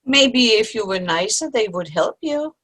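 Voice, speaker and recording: A woman plays a sarcastic, exasperated older lady in a stage comedy. She needles dryly and slowly, her pitch swinging widely. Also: animated, a bit bright. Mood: needling. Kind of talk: play reading. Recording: video call play reading.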